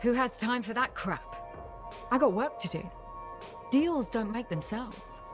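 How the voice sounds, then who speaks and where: An actress voicing a shrewd, streetwise bar owner with a casual, worldly, clipped manner in a video game. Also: female voice, a little high, expressive, unhurried. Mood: matter-of-fact, shrewd. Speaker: video game character actor. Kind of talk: video game dialogue acting. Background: music.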